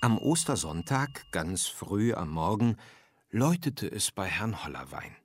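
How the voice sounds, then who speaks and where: A man narrating a scripted children's story, reading aloud expressively and with clear phrasing. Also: fairly low pitch, very animated, a little slow.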